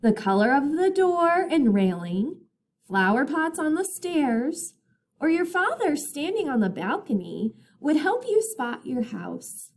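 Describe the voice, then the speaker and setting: Slow, lilting, high-voiced woman reading aloud. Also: very animated. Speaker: teacher reading aloud to children. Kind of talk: picture book read-aloud.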